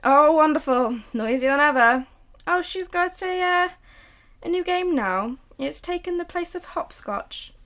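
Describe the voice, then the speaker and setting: A woman's voice: sits high, animated, measured pace, exaggerated voice. A woman playing a chatty, fussing mother in a radio drama. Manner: chatty and fussing, sharp when scolding. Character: fond, flustered suburban mother. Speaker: actor in a student radio drama.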